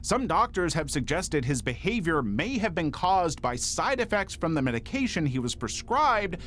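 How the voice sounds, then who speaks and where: Male true-crime narrator, fairly high voice with very animated intonation, faint noise behind. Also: normal speed.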